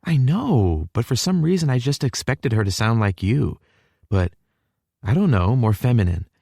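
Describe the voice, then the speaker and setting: A man's voice: mid-range voice, big pitch swings, normal speed, gravelly, dark tone, no room sound, clean studio sound, voice pushed up. A man voicing a flustered, teasing young woman who is feeling sick, in an audiobook story. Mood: apologetic, soft.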